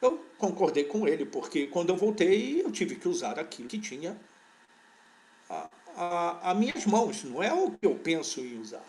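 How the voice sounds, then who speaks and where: Older guy, kinda high voice, telling old stories slow and rambly, keeps restarting sentences. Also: sing-song, darkish tone, a little hiss or hum. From born in Portugal, raised in Brazil. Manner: unhurried, rambling recollection with frequent restarts. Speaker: veterinary parasitology professor, interview guest. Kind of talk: relaxed conversational storytelling.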